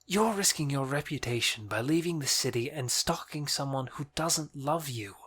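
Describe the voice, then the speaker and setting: A man's voice: mid-range voice, expressive, moderate pace, fairly bright tone, slightly rough, no room sound. A man playing a scornful, exasperated young lover in an audio drama, with a curt manner. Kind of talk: theatrical audio drama acting.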